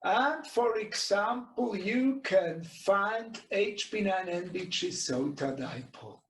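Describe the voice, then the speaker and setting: A middle-aged man with a Swiss German accent speaks in a high voice at an unhurried, slow pace. A native German speaker, he explains things informally and haltingly, searching for words. He sounds some way from the microphone in an echoey room. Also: lively intonation, slight background noise. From Switzerland. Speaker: webinar presenter and radio shop co-owner. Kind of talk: informal product tutorial. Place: small indoor radio workshop. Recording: echoey room, distant mic.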